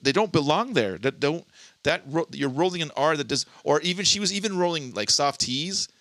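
Podcast co-host from Southern California, male, bright voice, rambly and profane, close dry mic. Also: on the high side, expressive, brisk, dry recording. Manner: rambling, many restarts and "like" fillers, swears. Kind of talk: casual podcast chat.

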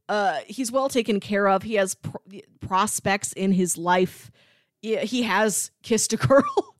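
A woman's voice: medium pitch, takes it slowly, no echo. A female podcast co-host with a mid-range voice, recorded close and dry. She chats in an analytical way, building her argument fluently and with emphasis. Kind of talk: casual analytical debate chat.

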